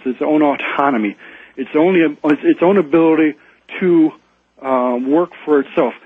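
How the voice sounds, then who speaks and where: A man appearing as a radio guest over the phone, explaining ideas in a halting way with many ums and repeated words. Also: on the high side, husky, no room sound. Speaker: guest author. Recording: phone line, tinny and dry.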